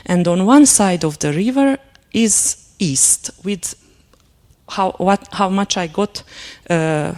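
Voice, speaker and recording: A woman whose first language is Hungarian, giving a lecture and telling a personal story in a halting, reflective way, with many fillers and restarts. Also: lowish voice, a little mellow. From Transylvania, Romania.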